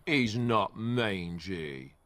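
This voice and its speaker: An actor playing a calm warrior companion in a fantasy game, gently explaining. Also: male voice, fairly low pitch, very slow, full character voice. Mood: calm, earnest.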